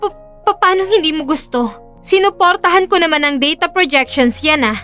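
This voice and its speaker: A woman's voice: high-pitched, moderate pace, sharp tone, soft background music. A woman playing a proud young businesswoman, a dismayed and pleading cousin in an audio drama. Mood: defensive, dismayed.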